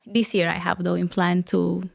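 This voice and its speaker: A woman guest chatting casually in an interview, laughing often and pausing with fillers. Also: on the low side, little pitch movement, vocal fry.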